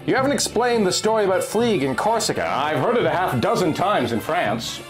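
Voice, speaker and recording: A male narrator voices a daring American diver from a pulp adventure. He is blunt, terse and questioning, with a highish, lively-pitched delivery over faint music. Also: brisk, low-quality recording. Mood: curious. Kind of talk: dramatic reading of pulp magazine dialogue.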